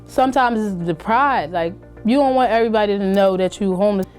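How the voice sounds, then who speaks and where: A woman's voice: medium pitch, fairly quick, a little mellow, soft background music. A woman in her early twenties speaking casually in a documentary interview about leaving foster care, with many fillers. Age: early 20s. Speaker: former foster youth interviewee.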